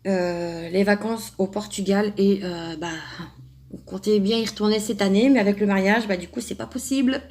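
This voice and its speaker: Young woman from France, craft vlogger, casual and rambling, mid-pitched voice. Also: fairly even intonation, normal speed, darkish tone. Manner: chatty, rambling, many fillers and restarts. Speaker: craft YouTuber answering a subscriber tag. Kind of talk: casual chat to camera.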